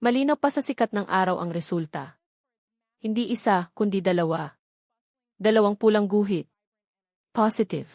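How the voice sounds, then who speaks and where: A woman with a Filipino accent narrating a scripted dramatic story as an audiobook, in a deliberate, emphatic way with short dramatic phrases. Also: medium pitch, darkish tone, no echo, clean studio sound.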